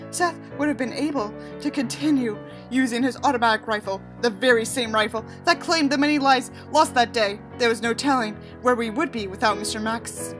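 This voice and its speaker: A woman reading a book aloud and adding sarcastic, exasperated, emphatic asides of her own. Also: on the high side. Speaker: audiobook reader and commentator.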